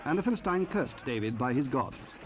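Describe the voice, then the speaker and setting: A man narrating scripture in a formal, solemn and measured way. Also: mid-range voice, very animated. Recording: faintly noisy cassette rip.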